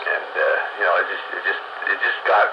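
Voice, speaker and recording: Through a muffled, echoey link comes a middle-aged man with a lowish voice. He talks casually and bluntly, rambling on and stressing his opinions. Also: level tone, fairly quick. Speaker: host of a hobby radio round-table. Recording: muffled, very noisy, echoing radio link.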